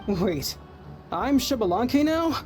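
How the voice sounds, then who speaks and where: A man's voice: very high voice, sing-song, takes it slowly, a little mellow, faint music behind, exaggerated voice. A man playing a good-natured, easygoing young fighter in a video game, earnest and gracious. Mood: amused surprise. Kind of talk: video game character acting.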